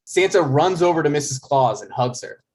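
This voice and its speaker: A man's voice: fairly high pitch, expressive, normal speed, a little mellow, poor audio. A man narrating stage directions from a script at a comedy table read.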